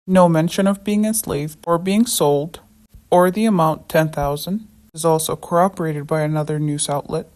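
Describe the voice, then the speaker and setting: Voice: medium pitch, fairly even intonation, normal speed, darkish tone. A narrator, a native Somali speaker, reads a scripted debunking in an indignant tone. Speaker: video essay narrator.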